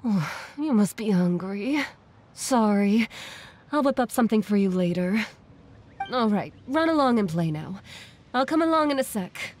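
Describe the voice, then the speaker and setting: A woman playing a gentle, caring, apologetic villager in a video game. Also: mid-range voice, animated, a little slow, pauses often. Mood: fond, scolding. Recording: faint music under the voice.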